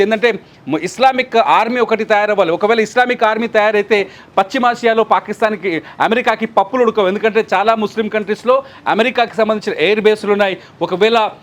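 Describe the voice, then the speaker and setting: A man speaks as a news analyst in a high voice. He talks quickly, explaining the situation and repeating points for emphasis. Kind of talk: live news analysis and commentary.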